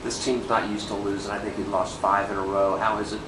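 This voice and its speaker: A man's voice: fairly low pitch, flat delivery, fairly quick. A man putting questions as a sports reporter at a press conference. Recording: echoey, distant, noisy room.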